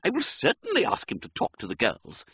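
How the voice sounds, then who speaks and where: A man voicing a brisk, decisive, middle-aged schoolmistress in a raised, falsetto-like voice. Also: wildly swooping pitch, sharp tone, dry recording, exaggerated voice. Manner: crisp and decisive. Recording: dull, dry, muffled sound.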